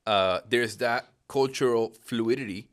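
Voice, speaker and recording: A man's voice: mid-range voice, a little slow, a bit bright, smooth. A man in his thirties, originally from Peru, explaining patiently in a casual conversation with frequent rhetorical questions.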